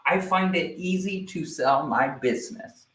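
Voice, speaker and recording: A man giving a live online sales training, energetic and speaking directly to viewers, often checking in with "right?". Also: fairly high pitch, unhurried, reverberant, rough recording.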